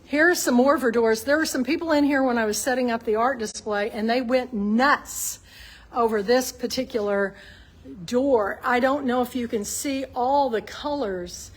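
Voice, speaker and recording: Casting: woman, higher-pitched voice, artist host gushing through a casual gallery tour. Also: moderate pace. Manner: gushing, enthusiastic. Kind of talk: casual video tour chat. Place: inside a shop gallery.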